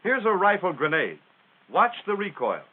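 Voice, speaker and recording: American male narrator for a 1940s military instructional film; plain-spoken and folksy, highly animated intonation, thin vintage soundtrack sound. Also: moderate pace. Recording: tinny, band-limited 1940s film soundtrack.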